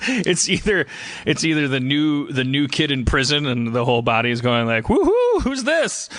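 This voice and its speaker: A man's voice: on the high side, very animated, normal speed, fairly bright tone, no room sound. Stammering man bantering on a comedy show, dry sound. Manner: stammering, repeats words and restarts phrases. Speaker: comedy podcast host. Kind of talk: casual comic banter.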